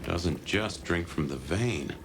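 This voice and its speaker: A man's voice: deep voice, expressive, slow, exaggerated voice. An actor voicing a wry, laid-back male vampire detective in a video game, with a dry, casual delivery. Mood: musing. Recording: clear ambient noise behind.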